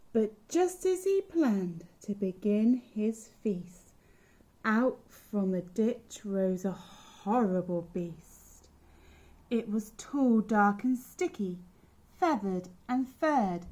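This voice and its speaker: Slow, expressive woman reading a picture book. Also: high-pitched. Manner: expressive, sing-song storytelling. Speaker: childminder. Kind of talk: picture-book read-aloud for children.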